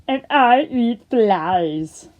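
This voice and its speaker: A woman voicing a brash, boastful big-mouthed frog puppet for a children's storytime, loud and drawn out. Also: on the high side, lively intonation, phone-quality sound, a little hiss or hum. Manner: loud, drawn-out, shouting questions.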